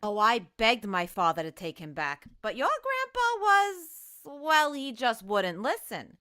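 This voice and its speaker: Fond mother recalling old times, played by a woman for radio drama; bright, highly animated. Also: unhurried, smooth, heavily acted. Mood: wistful. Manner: wistful storytelling. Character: fond young mother telling her son a story. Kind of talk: vintage radio drama acting.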